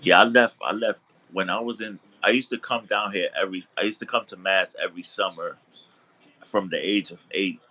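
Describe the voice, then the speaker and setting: A relaxed man chats on a video call from his sofa at home, with a low and very bright voice. He circles back over his words, restarting phrases, and laughs easily as he goes. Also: fairly quick, low-quality recording. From the Bronx, New York. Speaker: host. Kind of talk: casual chat. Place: living room at home, on a sofa.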